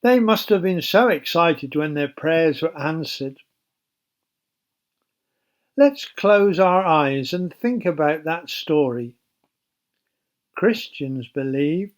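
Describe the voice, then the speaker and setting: A man narrating a Bible story for children as a storyteller, in a simple, measured, explanatory way. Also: on the high side, animated.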